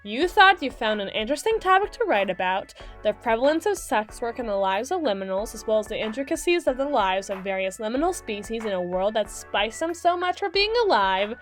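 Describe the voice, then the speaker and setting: Woman voicing female mermaid attorney, fairly high voice, sassy, brisk, sardonic, theatrical, ASMR roleplay monologue, faint music underneath. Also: lightly acted. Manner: theatrical, wordy, sardonic, talking to herself. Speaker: voice actor in audio roleplay.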